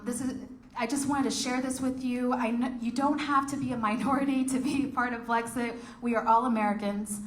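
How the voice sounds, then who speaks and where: A woman giving a short speech at a meeting, halting, with many ums. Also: mid-range voice, roomy sound, a little hiss or hum. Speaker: speaker for a group.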